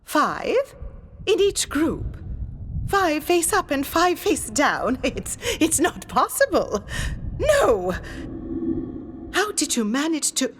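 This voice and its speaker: A woman's voice: high voice, very animated, a little hiss or hum, heavily acted. A woman playing an imperious, teasing ghostly spirit medium, leading a ritual in a formal, mysterious audio drama style. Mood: shocked disbelief. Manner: slow, dramatic, mysterious, commanding delivery with formal old-fashioned wording. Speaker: séance guide leading a card ritual. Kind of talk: scripted theatrical audio drama narration.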